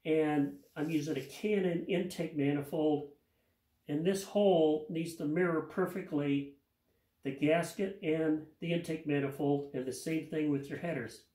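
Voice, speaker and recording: A man's voice: fairly high pitch, steady tone, unhurried, mellow. An older man explaining an engine rebuild in his home garage, talking in a plain, practical way and repeating words as he works. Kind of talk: hands-on how-to explanation. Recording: echoey garage.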